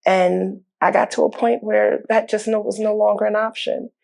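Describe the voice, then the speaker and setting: Earnest woman with a gravelly edge. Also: mid-range voice, steady tone, moderate pace, a little mellow. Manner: earnest, repeats words as she speaks. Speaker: mayor, guest. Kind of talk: candid interview talk.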